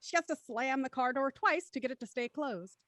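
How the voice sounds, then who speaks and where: Woman reading stage directions for a radio drama, slightly high, dry close mic. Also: expressive, brisk, fairly bright tone, no room sound. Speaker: narrator. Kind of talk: scripted narration of stage directions.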